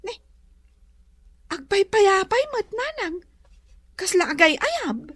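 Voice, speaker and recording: Casting: young Ilocano student daughter played by a woman, high, slow, plaintive delivery for radio comedy drama. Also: sing-song, exaggerated voice. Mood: curious. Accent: Filipino, Ilocano.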